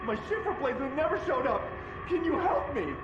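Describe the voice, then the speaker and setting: A panicked agent who begs for help in a very high, strained voice. His pleas ring out in an echoey room, over loud background noise. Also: sing-song, normal speed, dull audio. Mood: anxious pleading. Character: frightened male agent staring at an object.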